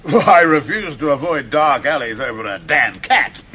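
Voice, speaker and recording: A brash horror filmmaker chatters on about his script in an old radio drama. His voice is bright and forceful and swings widely in pitch, and he stammers through nervous laughs. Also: lively intonation, a little slow, breathy edge, heavily acted. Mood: jovial, boastful. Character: brash, self-absorbed male horror filmmaker. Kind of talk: 1970s radio drama acting.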